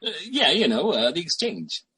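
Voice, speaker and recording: A man playing a husky, brash small-time hustler. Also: on the high side, very animated, sharp tone, exaggerated voice. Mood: cocky, persuasive. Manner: pushy, fast-talking salesman patter. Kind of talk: old-time radio sitcom acting.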